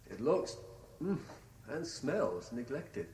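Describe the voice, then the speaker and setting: A man's voice: medium pitch, very animated, slow pace, a little mellow. A British actor playing an anxious, lovesick man terrified of heights in a radio drama, pleading and urgent. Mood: subdued, concerned. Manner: pleading, urgent, emotionally wrought. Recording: echoey, noisy vintage radio drama recording.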